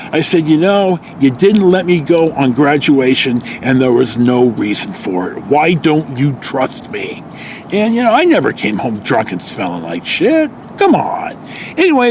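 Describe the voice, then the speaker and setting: A man's voice: high-pitched, a little hiss or hum. An American man in his early sixties tells a personal story casually, in a rambling, salty-tongued way. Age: early 60s. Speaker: vlogger telling personal stories. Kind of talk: casual anecdotal storytelling to camera.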